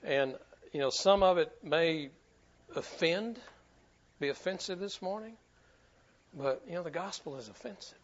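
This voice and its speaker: A man's voice: fairly high pitch, lively intonation, measured pace, long pauses, dull audio. A man preaching a sermon to his church in a folksy, repetitive way, often stumbling over his words. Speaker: pastor.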